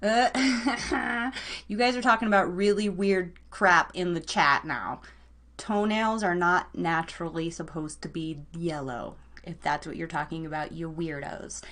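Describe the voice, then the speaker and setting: A woman's voice: fairly low pitch, a little slow, a bit bright. A woman hosting a live online radio show, chatting casually and playfully with listeners and a guest. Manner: chatty, playful, informal teasing.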